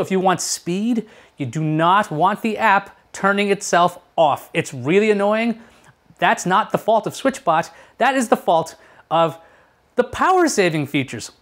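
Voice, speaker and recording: A man's voice: high voice, a bit bright. A man in his thirties hosting a casual tech review to camera, chatty and explanatory, often asking rhetorical questions. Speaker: tech show host. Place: small furnished room at home, indoors.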